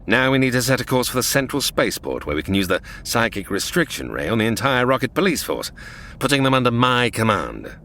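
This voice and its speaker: A man's voice: medium pitch, very animated, brisk, fairly bright tone, slight background noise. An actor playing a scheming, blustering male space villain in a comedy radio drama. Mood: bossy. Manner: blustering, theatrical.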